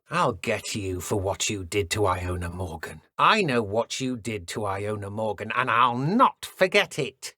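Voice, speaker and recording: Smug evil witch henchwoman from a video game, mocking threats, super swingy pitch, bright dry sound. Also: no room sound, full character voice. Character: menacing female dark wizard henchwoman, smug and taunting. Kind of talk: video game combat barks.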